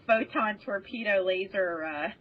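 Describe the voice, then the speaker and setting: A woman with a husky voice chatting casually as a podcast guest. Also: medium pitch, measured pace. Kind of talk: casual chat. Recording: dull lo-fi podcast audio.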